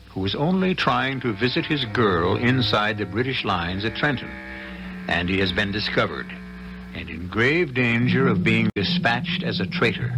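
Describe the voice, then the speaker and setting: A man hosting and narrating a radio mystery in a formal, deliberate, dramatic manner. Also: on the low side. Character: solemn male host narrating a suspense tale. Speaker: host and narrator.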